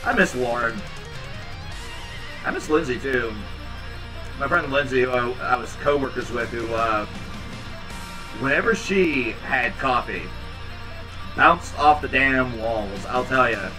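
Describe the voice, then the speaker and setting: A man in his thirties hosting a home livestream, chatting casually with viewers in a rambling, low-key, tired way. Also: a little slow, long pauses, far from the mic, music in the background, rough recording. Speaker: livestream host reading chat. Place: small home room indoors. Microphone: headset.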